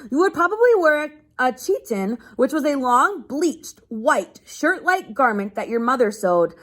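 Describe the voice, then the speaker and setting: High, lively-pitched woman reading aloud. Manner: explains simply, as if to children. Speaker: teacher reading a children's book aloud. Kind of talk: read-aloud lesson with simple explanatory asides.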